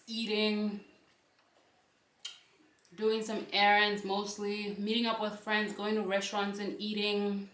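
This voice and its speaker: Young woman rambling to camera while eating, slow easy talker, sounds a bit far from the mic. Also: mid-range voice, a bit bright. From South Africa. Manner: chatty, talks to the camera between bites. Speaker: channel host. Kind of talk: casual chat while eating. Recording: echoey room, mic at a distance.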